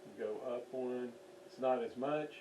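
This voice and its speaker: A man giving an unscripted hands-on demonstration at a workbench, halting as he thinks aloud while he works. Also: medium pitch, dark tone. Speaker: radio restoration hobbyist, demonstrator. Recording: distant mic with faint background noise.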